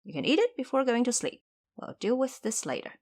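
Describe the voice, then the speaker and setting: A woman's voice: a little high, big pitch swings, fairly quick, a little mellow, pitched above the performer's own voice. A woman voicing a clever, boastful male monkey warrior in an audio drama, brash and proud in manner. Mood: reassuring. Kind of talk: audio drama character acting.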